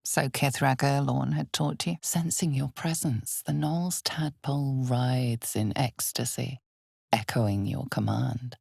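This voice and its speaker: A woman giving dramatic scripted fantasy game narration in a measured, ominous storytelling manner. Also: very deep voice, creaky, darkish tone, breathy edge, dry recording, studio quality.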